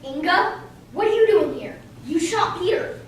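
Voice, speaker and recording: Confident, composed detective played by a woman, high voice, amateur radio drama, heavily echoing hall. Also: lively intonation, moderate pace. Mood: concerned. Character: McCoy, calm confident male police detective. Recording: very echoey hall, distant mic, clear noise.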